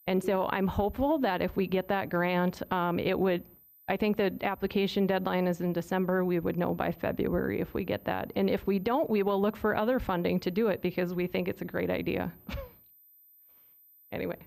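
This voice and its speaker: A woman presents a report to a city commission in a low voice with a gravelly edge. She talks in a friendly, loose way with frequent fillers. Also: fairly even intonation, a little fast, a little mellow. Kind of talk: meeting presentation. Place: city meeting room.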